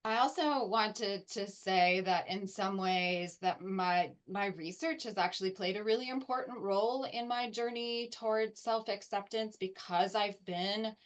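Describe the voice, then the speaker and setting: A woman's voice: medium pitch, unhurried, few pauses. A woman researcher speaking reflectively on a panel discussion.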